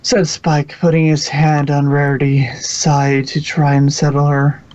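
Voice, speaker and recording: A man's voice: fairly high pitch, monotone, a little slow, darkish tone. A man reading scripted storybook narration as the narrator of a fan-fiction audio reading, on a lo-fi home recording.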